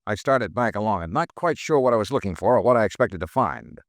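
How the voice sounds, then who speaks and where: Wry insurance detective narrating an old radio mystery, low, lively, dry and close. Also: male voice, fast talker, dry recording. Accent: American. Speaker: lead and narrator. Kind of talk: 1950s radio drama acting.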